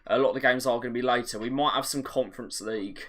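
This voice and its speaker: A man hosting a live watch-along, commentating on a match as a fan, his voice getting a little raspy. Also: fairly even intonation, fairly bright tone. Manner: partisan, rises sharply on chances, drops low when play slows.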